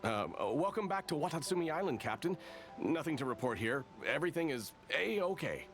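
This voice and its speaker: An actor playing a hot-headed, suspicious soldier in a video game, grumbling and blustery. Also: male voice, fairly high pitch, sing-song, brisk, music in the background. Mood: nervously covering up.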